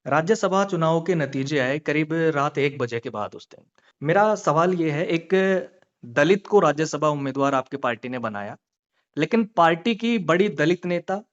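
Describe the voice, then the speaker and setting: A male journalist with a slightly high-pitched voice conducts a political interview on a dull call recording. He presses his questions persistently, restating them in new words. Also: lively intonation, moderate pace.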